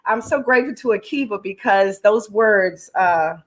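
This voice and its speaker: A woman's voice: medium pitch, expressive, normal speed. A middle-aged woman giving personal, testimonial interview answers as an actress, heartfelt and emphatic, leaning on repeated phrases like a preacher. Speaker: stage play actress and singer, interview guest.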